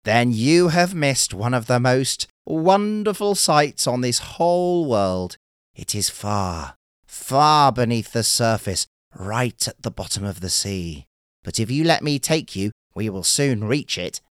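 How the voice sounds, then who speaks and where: A man voicing a kindly, calm little male sea turtle in a storybook tale, speaking slowly and courteously. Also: mid-range voice, clean tone, no room sound, clean studio sound. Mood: gentle, earnest. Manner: slow, gentle and courteous. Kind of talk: storybook character voice.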